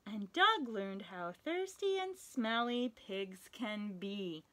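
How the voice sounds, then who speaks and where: A young woman as a children's librarian reading a picture book aloud at story time, animated and sing-song, with playful animal noises. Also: mid-range voice, slow. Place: small indoor room, wood-panelled.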